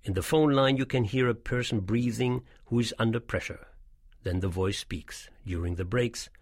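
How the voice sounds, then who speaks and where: A man reading a scripted documentary narration in a measured, explanatory way, as the guide of an audio tour. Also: medium pitch, no echo, studio quality.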